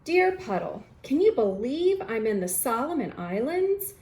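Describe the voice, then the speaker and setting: An adventurous little storybook fellow read by a woman writes eagerly to his friend. The voice sits fairly high and swings expressively, with slow, clear phrasing for young listeners. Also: big pitch swings, a little mellow, a little hiss or hum. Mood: excited.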